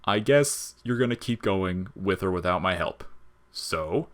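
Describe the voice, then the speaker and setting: A man's voice: low voice, lively intonation, unhurried. A man as a wry, world-weary skeleton. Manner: slangy, sardonic, explaining things casually. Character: wry world-weary skeleton monster, jolly front, bitter underneath.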